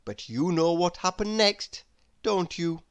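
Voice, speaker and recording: A woman narrating a children's storybook aloud in an expressive, dramatic and deliberate way. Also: on the low side, clean tone.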